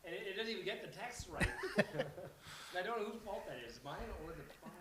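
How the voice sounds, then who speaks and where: A man hosting a live script-reading event in a casual, halting, scattered way, poking fun at himself and swearing freely. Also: high voice, animated, a bit bright. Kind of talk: casual unscripted stage introduction. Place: live event venue before a small audience.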